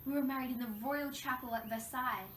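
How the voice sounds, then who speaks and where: A woman's voice: sits high, steady tone, quick pace, a bit bright. A woman playing a wronged young French queen in a scripted interview role play, recounting her troubles in a formal, recited way. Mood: earnest, reflective. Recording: echoey room, distant mic, faint noise.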